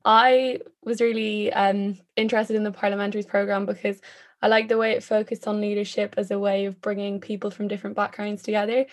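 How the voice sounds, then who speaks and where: A woman's voice: medium pitch, little pitch movement, a little fast. A young woman from Ireland, a student guest speaking casually and thoughtfully on a podcast. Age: young adult.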